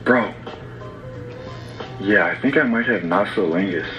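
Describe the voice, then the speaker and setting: A man's voice: on the low side, unhurried. A young man pranking with a staged phone call, talking in a brazen, casual, slangy way. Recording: dull lo-fi playback with music behind. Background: music.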